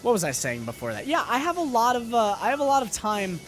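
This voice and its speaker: A man's voice: extremely high pitch, huge pitch swings, fast talker. A man doing live gameplay commentary while he is losing his voice, talking upbeat and rambling with lots of fillers and restarts. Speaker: game streamer. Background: loud game music.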